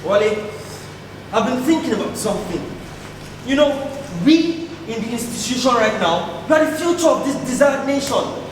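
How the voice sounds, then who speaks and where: Man as very high-voiced earnest student comrade. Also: lively intonation, normal speed. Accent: Nigerian. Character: earnest young male student comrade, politically indignant. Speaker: stage actor. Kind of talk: projected stage play acting. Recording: echoey hall stage play, distant mic, noisy.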